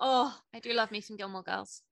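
A woman's voice: mid-range voice, brisk, gravelly, fairly bright tone, no room sound. A woman co-hosting a podcast, chatting casually about books with emphatic enthusiasm. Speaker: podcast co-host. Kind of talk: casual book chat.

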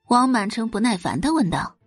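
A woman's voice: a little high, big pitch swings, normal speed, no echo, soft background music. A woman reading scripted dramatic narration as the narrator of an audio drama.

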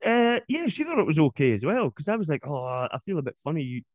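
Lively Scottish man, slightly dull audio. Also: a little high, quick pace, no echo. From Scotland. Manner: hesitant, self-conscious, lively. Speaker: podcast co-host. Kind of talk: casual chat. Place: home office.

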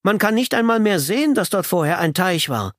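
Man voicing an excitable, high-pitched storybook fox. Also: brisk, no echo, clean studio sound. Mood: agitated, urgent. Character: excited, breathless young fox bringing bad news.

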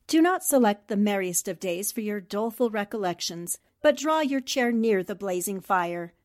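A woman's voice: medium pitch, expressive, normal speed, dry recording, studio quality. A woman speaking for a radio drama cast, reading scripted lines aloud. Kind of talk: scripted holiday greeting and essay reading.

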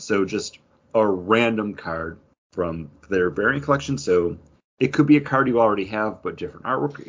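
A man's voice: lowish voice, a bit bright, slightly rough, dull audio. A man co-hosting a casual gaming chat, explaining things in detail with plenty of slang. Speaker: podcast co-host.

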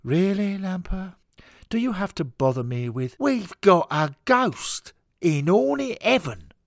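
A man voicing a pompous, excitable old-fashioned gentleman in a blustering, plummy for an audio story. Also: high-pitched, moderate pace, no echo, clean studio sound, pitched above the performer's own voice. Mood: exasperated.

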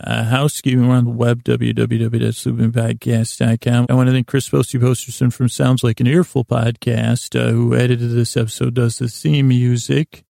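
Very creaky, mellow-voiced man podcasting. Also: medium pitch, level tone, no echo, clean studio sound. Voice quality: gravelly, creaky. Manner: hesitant, halting, meandering with false starts. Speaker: podcast host. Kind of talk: rambling, unscripted podcast intro monologue.

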